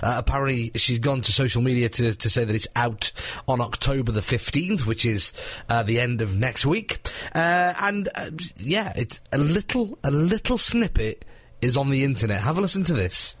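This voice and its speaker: A man's voice: mid-range voice, lively intonation, moderate pace, fairly bright tone, no room sound. A man presenting a casual phone-in radio show, chatty and teasing, laughing often.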